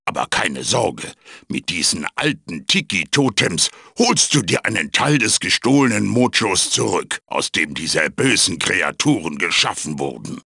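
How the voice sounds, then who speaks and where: A man playing a wise, fatherly magical wooden mask in a video game, with a theatrical, instructive manner, dry humor and a gravelly, raspy voice. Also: deep voice, big pitch swings, unhurried, bright, forceful tone, no room sound, exaggerated voice. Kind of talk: video game character voice acting, tutorial guidance.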